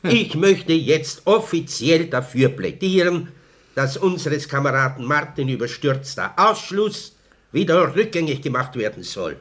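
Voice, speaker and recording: A man's voice: on the high side, lively intonation, a little slow, fairly bright tone. A man playing an earnest, stubborn party comrade in a German radio play, speechifying in an officious, repetitive way. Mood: defiant.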